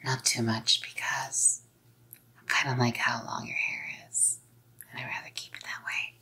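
A young woman playing a doting, controlling psycho girlfriend in a hushed, coaxing ASMR role-play. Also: very deep voice, wildly swooping pitch, moderate pace, gravelly, husky, full character voice. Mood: soothing, intimate. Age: young adult. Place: small dim indoor room.

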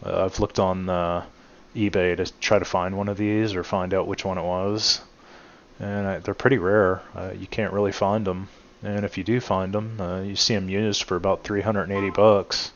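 A man's voice: low-pitched, moderate pace, dull audio. A man hosting his channel, casually walking viewers around his truck in a home garage with lots of "uh" fillers. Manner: relaxed, many "uh" fillers, addresses viewers as guys. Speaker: channel host showing his truck restoration. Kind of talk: casual walkaround narration.

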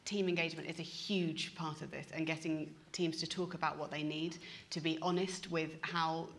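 An animated woman in her thirties with a low voice speaks on a business panel. She gestures as she talks into a lapel mic in a university hall. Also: moderate pace, vocal fry. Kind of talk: panel discussion.

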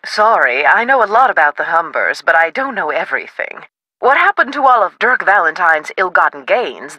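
A woman playing a self-assured, scholarly researcher in a video game, precise and theatrical with deliberate emphasis. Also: lowish voice, sharp tone, no echo, full character voice, low-quality recording.

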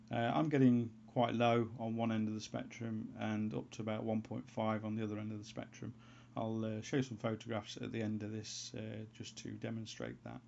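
A man giving an unscripted how-to voice-over as a hobbyist presenter, in a halting way, as if thinking aloud. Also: fairly low pitch, gravelly, mellow, a little hiss or hum. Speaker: hobbyist presenter of a homebrew antenna tutorial. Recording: dull, lo-fi sound.

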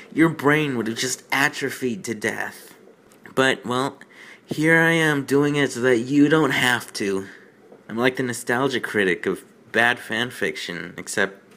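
Male host, unhurried and exasperated, rambling sarcastic commentary on amateur writing, self-deprecating, mid-pitched voice. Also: a bit bright, a little hiss or hum. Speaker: host of a bad fan fiction reading show.

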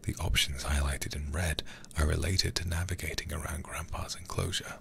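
Male streamer, bottom-of-the-range pitch, gravelly fry, hushed ASMR game reading, deliberate, close mic. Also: steady tone, background noise. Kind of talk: whispered ASMR reading of horror game text. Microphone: close ASMR microphone.

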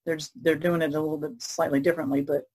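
A woman's voice: low-pitched, little pitch movement, quick pace, darkish tone, slightly rough. A woman artist in a casual podcast chat over a video call, easygoing and hesitant with ums. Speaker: artist guest.

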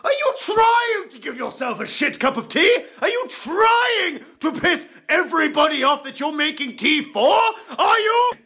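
Guy putting on a snooty rich toff, loud and rude, slow drawn-out talk, lively swoops. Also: very high voice, voice pushed up, exaggerated voice. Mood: pompous. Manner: pompous, shouty, crude. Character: pompous rich British man with mustache and monocle. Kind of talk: comedy sketch video.

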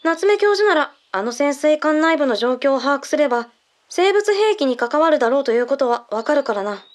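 Radio drama role: female agent giving briefings, clearly high-pitched, blunt, businesslike, mannish wording woman performer. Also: animated, brisk. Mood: matter-of-fact, explanatory. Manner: blunt, mannish phrasing, explanatory. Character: brisk, matter-of-fact female intelligence agent briefing a colleague. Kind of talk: Japanese radio drama acting.

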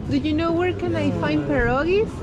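A woman's voice: sits high, a little fast, muffled recording. A young woman from Venezuela with a Venezuelan Spanish accent, chatting enthusiastically to viewers as a travel vlogger. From Caracas, Venezuela. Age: young adult. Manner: exclaiming, enthusiastic. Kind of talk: casual vlog chat to viewers. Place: train station, mall and outdoor Christmas market. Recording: noisy busy public places, crowd chatter.

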